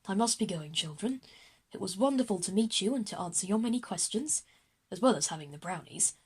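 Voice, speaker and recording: A woman playing a courtly, genial older male hero in an audio drama, formal and measured. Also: medium pitch, animated, slightly rough. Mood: genial, gracious.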